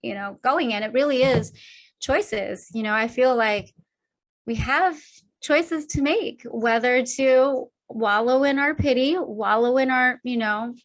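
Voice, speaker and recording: A woman speaking as a guest in a motivational podcast conversation, lively and animated with upbeat pep-talk phrasing. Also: a little high, unhurried.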